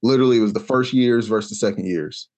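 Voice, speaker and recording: A young adult man from Kansas telling a long, animated story in a casual podcast chat, acting out the voices he quotes. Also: fairly low pitch, fast. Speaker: podcast co-host.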